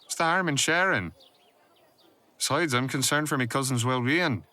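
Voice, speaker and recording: A man's voice: mid-range voice, moderate pace, bright, forceful tone, voice pushed up, close to an everyday voice. A man playing a haughty, teasing, flirtatious cousin with an arch, insinuating drawl, in a fantasy audio roleplay. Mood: mocking.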